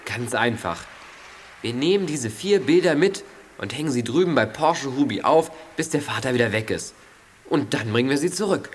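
A man's voice: mid-range voice, expressive, close to an everyday voice. Man playing an impatient, clever teenage boy sleuth, noisy recording. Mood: confident, explaining. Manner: exasperated, explanatory, quick to scold. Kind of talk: German children's audio drama acting.

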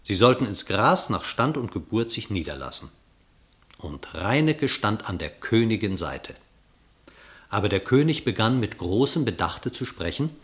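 A male narrator reads a classic verse fable for a radio play. He tells it with dramatic, storytelling expression, and his pitch rises and falls widely. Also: big pitch swings, measured pace, a bit bright.

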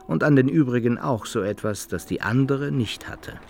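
A man's voice: mid-range voice, moderate pace, lightly acted. An actor playing a self-satisfied rogue narrating his love conquests in a literary radio drama, in archaic phrasing. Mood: smug, amorous. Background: opera-like music.

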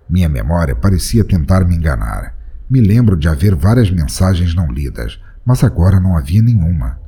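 A man's voice: low-pitched, mellow, slightly rough. A man narrating a first-person horror story in a dramatic, tense storytelling manner. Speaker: narrator and podcast host.

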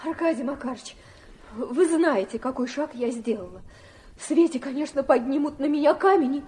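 High, soft-toned proud noblewoman. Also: expressive, moderate pace. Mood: wounded pride. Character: proud, well-born young woman, composed and pleading. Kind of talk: Russian radio drama acting.

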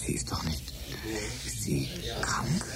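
A deferential scientist in a radio play. His voice is very low yet animated in pitch, and he speaks with hesitant, awkward politeness. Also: slow pace, very noisy, heavily acted. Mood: uneasy. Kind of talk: German radio drama acting.

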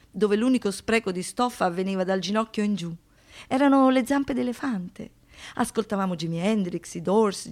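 A woman performing as a narrator in radio theatre, with deliberate, literary phrasing and dry irony. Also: mid-range voice, a little mellow, lightly acted. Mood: nostalgic. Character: woman narrator recalling seventies youth and crime.

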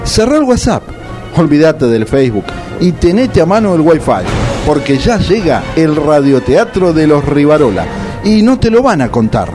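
A man narrates a comic radio play with playful drama and a Rioplatense accent. His slightly high voice rises and falls with lively flair. Soft music sits underneath. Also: normal speed. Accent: Rioplatense Spanish. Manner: playful, dramatic announcer delivery. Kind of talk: scripted narration with a theatrical lilt. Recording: faint music behind the voice.

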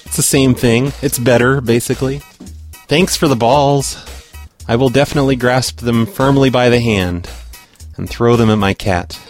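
A man's voice: medium pitch, moderate pace, soft background music. A man hosting a prank call show, chatting casually in an offhand, sarcastic way. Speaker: podcast host. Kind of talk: casual chat.

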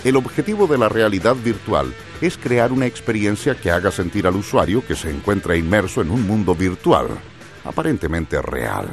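Narrator: man, lowish voice, animated yet formal scripted explanation, light music bed. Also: moderate pace. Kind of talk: scripted educational radio narration.

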